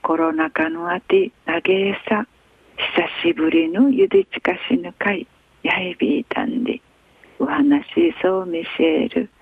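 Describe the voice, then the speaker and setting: A woman radio host reading a newspaper article aloud in a slow, measured way, with an Okinawan accent. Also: medium pitch, very animated, vocal fry, slightly rough, dry recording. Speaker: radio news reader. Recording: tinny phone-like radio sound.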